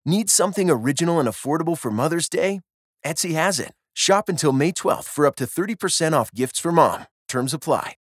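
A man's voice: fairly high pitch, expressive, fairly quick, breathy edge, dry recording, clean studio sound. A man reading a scripted commercial as an advert announcer.

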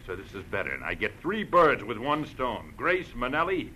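Bright, forceful crooked police lieutenant. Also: male voice, mid-range voice, sing-song, normal speed. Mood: defiant. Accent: American. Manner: snarling, domineering. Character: crooked, menacing police lieutenant, man, gloating and short-tempered. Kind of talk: 1940s radio acting. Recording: noisy vintage radio recording.

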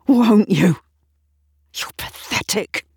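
A woman's voice: lowish voice, slow pace, warm tone, husky, no echo, clean studio sound, exaggerated voice. A woman playing a desperate, self-loathing drinker who berates herself, bitter and scornful, in a dramatised story. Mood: bitter contempt. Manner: bitter, scornful, swinging into anguished wailing.

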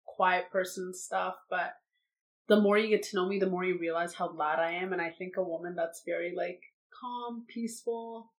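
A woman's voice: lowish voice, steady tone, normal speed, low-quality recording. A woman in her twenties, a guest in a casual chat in a living room, talking in an unfiltered, assertive way with lots of "like". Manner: talkative, unfiltered, heavy use of "like"; assertive, loud delivery.

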